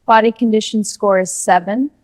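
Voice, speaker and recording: A woman's voice: mid-range voice, fairly even intonation, moderate pace, a little mellow, lightly acted. A woman voicing a matter-of-fact factory machine operator who gives brief status reports.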